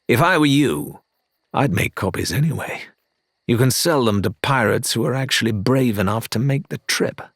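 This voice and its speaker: A man's voice: medium pitch, lively intonation, normal speed, fairly bright tone, no echo, clean studio sound, pitched above the performer's own voice. A man voicing a sly pirate turncoat who speaks amiably and persuasively, with a curt edge, in an audiobook. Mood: scoffing.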